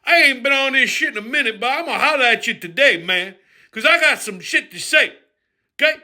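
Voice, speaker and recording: A man playing a profane, loudmouthed character who rants and shouts in a comedy bit. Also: very high voice, animated, brisk, voice pushed up, heavily acted. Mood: ranting. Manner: profane ranting, shouting, mocking. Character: foul-mouthed loudmouth man named Crazy Rufus.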